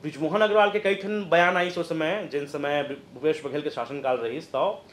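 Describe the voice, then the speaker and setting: Male political commentator, 30s, Chhattisgarhi accent, higher voice, halting explanations, roomy studio. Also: fairly even intonation, fairly bright tone, slight background noise. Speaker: news presenter and political analyst. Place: indoor news studio, roomy sound. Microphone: lapel mic.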